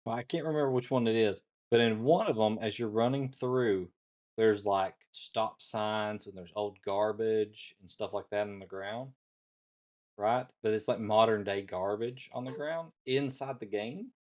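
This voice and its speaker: Lower-voiced man chatting as co-host. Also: unhurried, a little mellow. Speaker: podcast co-host. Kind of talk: casual panel chat.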